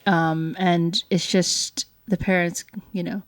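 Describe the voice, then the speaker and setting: A woman's voice: lowish voice, flat delivery, takes it slowly. A woman co-hosting a family show as a parent, speaking in a casual, conversational style.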